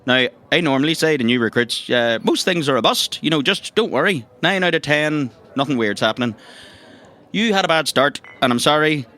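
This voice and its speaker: An actor playing a chatty, thirsty agency boss in a comedic audio drama, with a Northern Irish accent and a rambling, hesitant, colloquial way of talking. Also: male voice, a little high, lively intonation, fairly quick, bright, forceful tone. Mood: reassuring. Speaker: mission giver briefing recruits.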